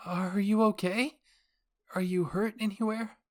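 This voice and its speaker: A narrator voicing a gentle, concerned teenage boy soldier with an anxious, earnest manner in a story reading. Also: mid-range voice, steady tone, measured pace, voice pushed up, lightly acted. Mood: worried.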